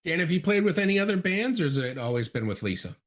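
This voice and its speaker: A man hosting a podcast, asking brief, relaxed interview questions. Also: on the high side, quick pace. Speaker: podcast host.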